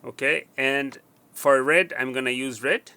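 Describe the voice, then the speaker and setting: Bright-voiced man explaining wiring at a bench. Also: medium pitch, fairly even intonation, clean tone, no echo. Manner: halting, with frequent fillers and restarts while working; counts pins aloud while pointing. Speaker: technician demonstrating wiring. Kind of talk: hands-on instructional walkthrough.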